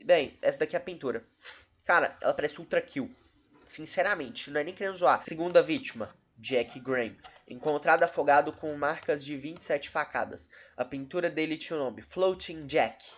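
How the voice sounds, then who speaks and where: A man's voice: fairly high pitch, moderate pace, fairly bright tone. A Brazilian man hosting a video commentary, talking casually in a rambling, self-interrupting, dryly sarcastic way. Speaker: host of a video channel about horror cases.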